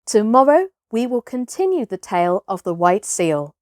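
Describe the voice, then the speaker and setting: A woman reading a children's storybook aloud as host, in an expressive, theatrical narrating style. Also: mid-range voice, normal speed, no room sound, clean studio sound.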